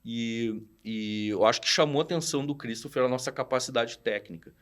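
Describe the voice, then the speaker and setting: Animated storyteller from southern Brazil, man in his 40s, slangy, acts out quotes, mid-pitched voice. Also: brisk. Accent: southern Brazilian Portuguese. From Rio Grande do Sul, Brazil. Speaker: podcast guest, studio founder. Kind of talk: casual storytelling chat.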